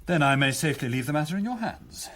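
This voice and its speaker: An actor playing a chilling Nazi SS chief in a radio drama, politely pompous and measured, with quiet menace and a husky voice. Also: male voice, a little high, expressive. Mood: cold threat. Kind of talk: broadcast radio drama acting.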